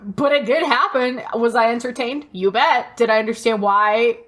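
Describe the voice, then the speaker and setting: A woman's voice: on the high side, normal speed, a bit bright. A woman co-hosting a casual comedy podcast, talking in a wry, playfully dramatic way. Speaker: podcast co-host.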